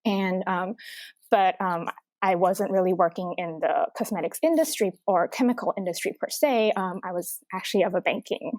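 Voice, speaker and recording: Halting woman guest, dry sound. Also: on the low side, a little mellow, no echo. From Taiwan. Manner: halting, full of filler words. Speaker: guest, company representative. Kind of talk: casual interview talk.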